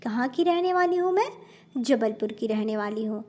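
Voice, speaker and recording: A woman from India teaching spoken English in Hindi, slow and careful, often calling her viewers friends and mixing in English words. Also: fairly high pitch, lively intonation, warm tone.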